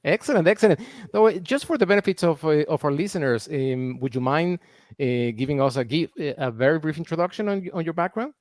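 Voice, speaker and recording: A man's voice: on the high side, lively intonation, a little fast, a little mellow, no room sound. Male host, close dry sound. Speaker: podcast host. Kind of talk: conversational interview.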